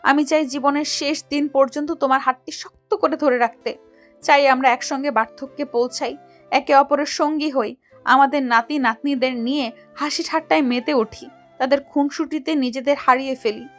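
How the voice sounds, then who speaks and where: A woman's voice: high voice, fairly even intonation, normal speed, faint music behind, lightly acted. A woman playing a remorseful, devoted young lover, pleading earnestly in a romantic audio story. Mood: tearful devotion.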